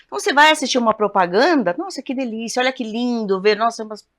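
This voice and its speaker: A woman's voice: on the high side, animated, quick pace, a bit bright. A Brazilian woman talking as a panelist on a studio talk show, chatty and emphatic, often turning to the group. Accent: Brazilian Portuguese.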